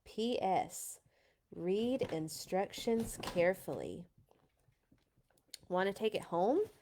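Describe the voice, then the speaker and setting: A woman's voice: medium pitch, animated, takes it slowly, dark tone, slight background noise. Woman as a bossy, emphatic young girl. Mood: coaxing. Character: young girl, bossy, eager older sister.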